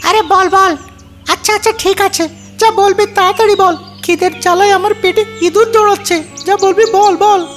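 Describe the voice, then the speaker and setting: A performer voicing a sly, greedy, bullying fox villain in a children's cartoon, blustering and scornful, with loud music behind. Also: extremely high pitch, fairly even intonation, normal speed, voice pushed up. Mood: greedy, impatient. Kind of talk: children's cartoon story character acting.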